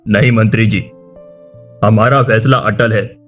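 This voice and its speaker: A man playing a proud older king and father in a cartoon, with measured, declamatory speech. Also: lowish voice, steady tone, soft background music, natural voice. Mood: stern. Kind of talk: dramatic Hindi cartoon dubbing.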